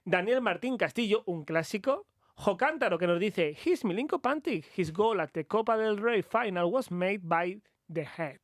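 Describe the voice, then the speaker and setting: A Spanish man co-hosting a radio show, speaking English with a Spanish accent and moving lively between Spanish and English. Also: high voice, normal speed, no echo, studio quality. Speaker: radio co-host. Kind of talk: bilingual radio chat.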